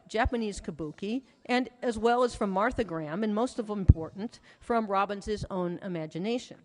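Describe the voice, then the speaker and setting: A woman giving a prepared lecture to an audience, with an articulate, storytelling delivery and emphatic phrasing. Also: on the high side. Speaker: lecturer, author presenting research. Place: large hall at a conference. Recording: reverberant hall, music playing behind. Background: music.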